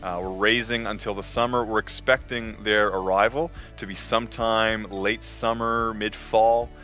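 Bright young man making a church appeal. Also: fairly low pitch, flat delivery, moderate pace, faint music behind. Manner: upbeat, direct appeal to the congregation. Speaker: church staff member. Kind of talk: church announcement. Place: church sanctuary. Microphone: headset mic.

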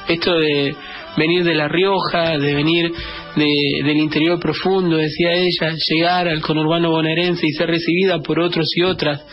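A man with a Greater Buenos Aires Argentine accent, hosting a radio show with a reflective spoken editorial, repeating phrases for emphasis. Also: fairly high pitch. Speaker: radio host. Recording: dull band-limited radio sound, music behind.

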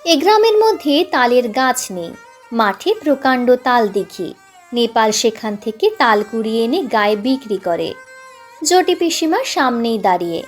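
A woman's voice: on the high side, expressive, measured pace. A woman reading a scripted literary story as a dramatic narrator. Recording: music playing behind the voice.

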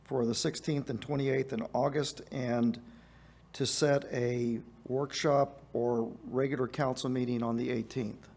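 A man's voice: medium pitch, flat delivery, creaky, a little mellow, slightly rough. Man chairing a council meeting. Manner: brisk, procedural, checks agreement. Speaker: presiding council member. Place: council chamber.

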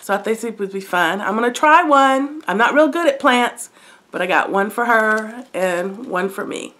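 A middle-aged woman talks casually to a camera close by in her living room, her voice stuffed up from a head cold. She rambles in a friendly, unhurried way with plenty of ums and little asides. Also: a little high, expressive, a bit bright. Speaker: haul vlogger. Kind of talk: casual show-and-tell chat. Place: living room by a brick fireplace, indoors.

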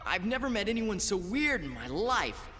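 A woman voicing an earnest, guarded teenage boy space cadet in an animated film dub, often exasperated. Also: mid-range voice, moderate pace, a little hiss or hum. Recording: reverberant soundtrack with faint music.

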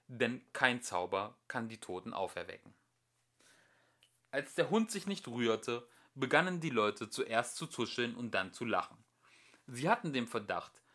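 A man reading a fairy tale aloud as an amateur audiobook narrator, with expressive delivery. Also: medium pitch.

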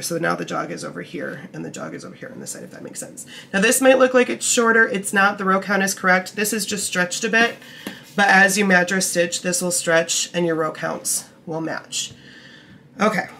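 Slightly croaky woman teaching knitting. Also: lowish voice, sharp tone, a little hiss or hum. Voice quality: slightly gravelly. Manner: patient, reassuring, talks while working with hands. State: croaky from a cold. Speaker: knitting tutorial host. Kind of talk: casual step-by-step instruction. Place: indoor table, camera over hands.

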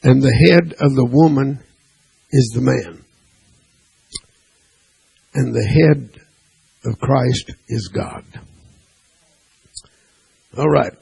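Male preacher in a church, slow and deliberate, gravelly husky voice, keeps tossing in amen. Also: medium pitch, warm tone, poor audio. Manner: preaching with frequent "amen" and call-and-response questions; reads scripture aloud in King James wording. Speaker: pastor. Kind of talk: sermon. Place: church sanctuary.

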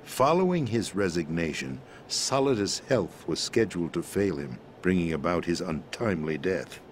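A grave, low-voiced president. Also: male voice, lively intonation, a little hiss or hum. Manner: measured, grave, explanatory. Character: calm, grave middle-aged man, president briefing via codec. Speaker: president explaining a conspiracy. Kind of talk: video game cutscene voice acting.

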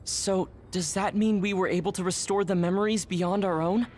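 A woman playing a calm, formal, practical young soldier in an anime game. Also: medium pitch, moderate pace, slight background noise, close to an everyday voice. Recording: music behind voice.

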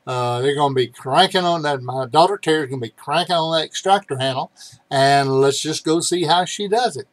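A man's voice: on the high side, a little fast. An older man hosting a vlog, explaining things casually to the camera. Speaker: vlog host. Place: cluttered indoor room.

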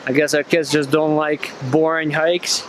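A man's voice: on the high side, flat delivery, unhurried, background noise. Man vlogging on a forest trail. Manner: enthusiastic, conversational. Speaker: family travel vlogger. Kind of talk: casual vlog narration. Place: outdoors on a forest trail and in a river. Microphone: handheld action camera at arm's length. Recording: background music.

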